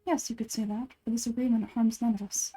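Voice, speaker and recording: A woman voicing an aloof, calculating male scholar from a video game, speaking in a formal, measured, clinical way. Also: a little high, fairly even intonation, mellow, husky, faint music behind. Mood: businesslike, composed. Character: aloof, scholarly young man, calculating and composed researcher. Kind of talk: game dialogue read aloud.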